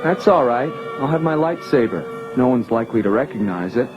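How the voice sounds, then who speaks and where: A man's voice: mid-range voice, animated, a little fast, natural voice. An actor playing an eager, earnest young rebel pilot who teases his friends. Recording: dull lo-fi 1980s record with music.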